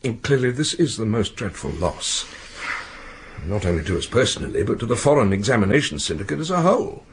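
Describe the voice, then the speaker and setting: An actor playing a formal, conciliatory male head of an academic board in a radio drama, making solemn, halting speeches. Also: lowish voice, sing-song, slightly rough, full character voice. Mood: shocked, solemn.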